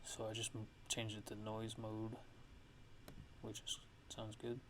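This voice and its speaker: A man giving a casual, hushed ASMR-style software tutorial, explaining things in a halting, unscripted way. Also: lowish voice, monotone, a loud crowd. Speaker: music production tutorial host.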